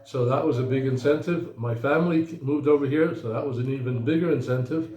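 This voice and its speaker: A man's voice: medium pitch, darkish tone. Hesitant American man in an echoey room. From United States. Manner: slow, hesitant, many fillers. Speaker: attorney, interview guest. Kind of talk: casual interview answers.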